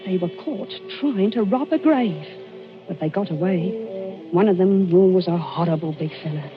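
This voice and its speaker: An actress playing a fussing, gossipy but deferential housekeeper in a 1940s radio drama. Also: female voice, lowish voice, moderate pace, lightly acted. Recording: dull vintage 1940s radio recording, music.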